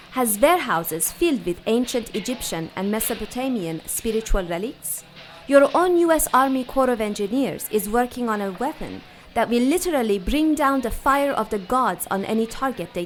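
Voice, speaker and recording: An actress playing a poised, worldly woman with measured, formal speech in a 1940s noir radio drama. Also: on the high side, lively intonation, soft background music, lightly acted. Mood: persuasive. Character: poised, worldly 1940s female emissary of a scientist.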